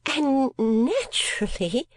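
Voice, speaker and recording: A prim, affected landlady of fifty, as a woman reader puts her on, fluttering up into a high, airy, half-whispered voice. Every word comes out mincing and genteel, and the storybook recording is close and dry. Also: big pitch swings, slow, dry recording, exaggerated voice. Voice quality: high and breathy, sometimes whispered. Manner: prim, affected, little delicate coughs.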